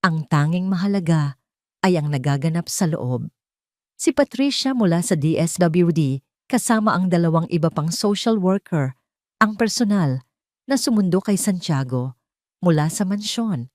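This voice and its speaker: Low-pitched Filipino woman narrating a story. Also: expressive, moderate pace, darkish tone, no room sound, studio quality. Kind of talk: scripted dramatic story narration.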